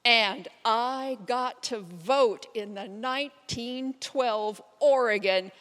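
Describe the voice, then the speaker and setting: A woman's voice: a little high, slow, a bit bright. Woman playing a determined suffragist in a reverberant hall. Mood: proud, reflective. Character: elderly pioneer suffragist, determined and plain-spoken, recounting her life. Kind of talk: readers theater first-person monologue.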